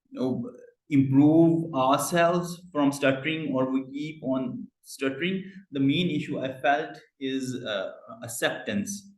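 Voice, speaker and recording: A man's voice: medium pitch, reverberant, rough recording. A man from Pakistan hosting an online club meeting, speaking with a stammer and frequent fillers over a video call. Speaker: meeting host.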